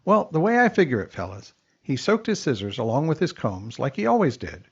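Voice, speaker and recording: A man's voice: medium pitch, huge pitch swings, brisk, vocal fry, breathy edge, muffled recording, exaggerated voice. An actor playing a folksy male coroner explaining how a death happened.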